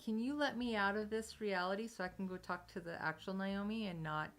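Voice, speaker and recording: A woman playing a patient adult coaxing a child in a tabletop game, with a gentle manner. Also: mid-range voice, fairly quick, close to an everyday voice.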